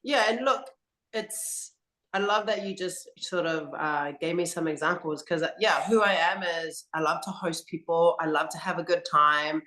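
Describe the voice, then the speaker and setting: Woman, rugby coach, interview guest, slow, earnest, reflective, roomy echo. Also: lowish voice, pushed and bright.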